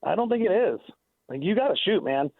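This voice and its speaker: A man's voice: high voice, very animated, a little fast. Emphatic man on a tinny phone line. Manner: emphatic, repeats himself for stress, argumentative. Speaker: sports analyst guest. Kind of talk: radio sports analysis, conversational.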